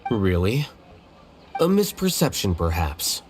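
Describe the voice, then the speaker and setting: A man's voice: lowish voice, big pitch swings, slow pace, a little hiss or hum. A man playing an aloof, coolly rational young scholar in a video game, dry and blunt in delivery. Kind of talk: video game character acting. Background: prominent background music.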